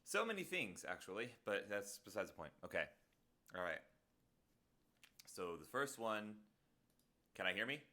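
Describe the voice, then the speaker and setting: A man's voice: fairly low pitch, big pitch swings, moderate pace, long pauses, pushed and bright. A man streaming live, muttering to himself and joking at his own expense in casual chat at a desk microphone.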